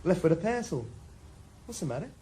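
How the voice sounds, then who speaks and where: A man's voice: fairly high pitch, sing-song, brisk, dark tone. An actor playing an offhand, casual delivery man in a radio drama.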